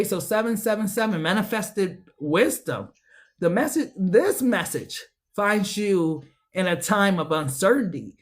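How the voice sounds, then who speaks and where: A woman giving a tarot reading to her viewers, slangy and profane, preaching with loads of enthusiasm and a voice that swings high and low. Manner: talks straight to viewers as a group. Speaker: tarot reader. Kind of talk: casual tarot reading to camera.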